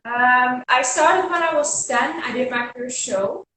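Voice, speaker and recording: A twenty-one-year-old woman from the Netherlands, a native Dutch speaker, talks calmly and earnestly in English on a video chat. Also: sits high, unhurried, a little hiss or hum. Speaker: guest. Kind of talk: casual live video chat. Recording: very echoey room, distant mic.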